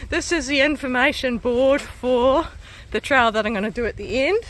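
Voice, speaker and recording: A woman's voice: sits high, normal speed, fairly bright tone. Chatty New Zealand woman hiking outdoors. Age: 40s. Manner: chatty, laughs often, breathy from climbing stairs. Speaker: hiking vlogger. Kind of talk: casual vlog narration while hiking. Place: outdoors on a coastal trail.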